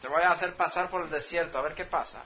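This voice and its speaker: A man whose first language is Swedish delivers a sermon with emphatic repetition and questions put to his listeners. His voice is bright and forceful and sits a little high. The recording is dull and lo-fi, with faint ambience behind him. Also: fast talker. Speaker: preacher.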